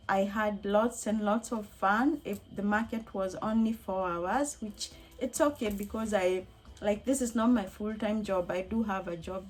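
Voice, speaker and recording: A woman with a slightly hoarse voice, chatting casually and upbeat in a rambling vlog recap as a craft hobbyist. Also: mid-range voice. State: slightly hoarse from being unwell. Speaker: crochet hobbyist and craft vendor vlogger.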